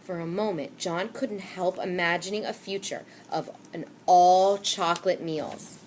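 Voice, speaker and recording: A woman reading a children's novel aloud with expressive storytelling. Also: lowish voice, unhurried, slight background noise. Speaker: reader.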